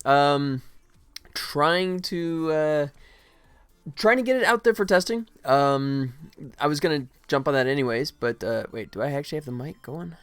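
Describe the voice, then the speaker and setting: A middle-aged man streaming as a game developer, chatting casually with viewers in a friendly, self-deprecating, rambling way with halting starts. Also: a little high, clean tone, dry recording. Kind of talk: casual livestream chat to viewers.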